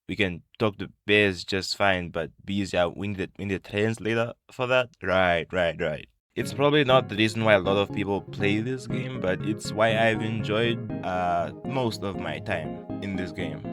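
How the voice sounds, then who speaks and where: A young man with a low voice delivers scripted video commentary. He slips in casual asides and sounds wry and self-aware. Music plays clearly behind him. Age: young adult. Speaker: YouTube video essayist.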